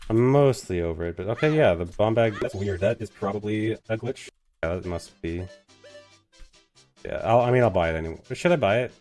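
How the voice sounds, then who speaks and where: A man's voice: fairly low pitch, animated, brisk, a little mellow, soft background music. A man streaming a game live, getting over a cold and muttering to himself as he plays. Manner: mutters to himself while playing. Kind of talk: casual live-stream commentary.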